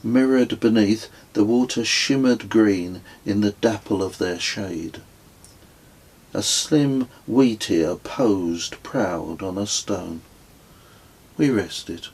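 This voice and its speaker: A man narrates his own book in a fairly low voice with a rough, husky grain. He takes his time, very slow and measured, describing each scene as a literary storyteller. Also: darkish tone, low-quality recording. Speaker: author reading own book.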